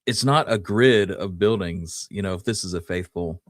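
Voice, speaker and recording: Low-pitched man, dry close sound. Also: moderate pace, no room sound. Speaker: podcast co-host. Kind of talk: casual history discussion.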